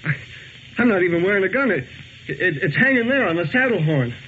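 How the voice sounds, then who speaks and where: Sharp-voiced, stammering cowardly outlaw. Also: male voice, high voice, animated, brisk. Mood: frightened, defensive. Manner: stammering, pleading. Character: cowardly cornered male outlaw in a western. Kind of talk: 1950s radio western acting. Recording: muffled, hissy 1950s radio recording.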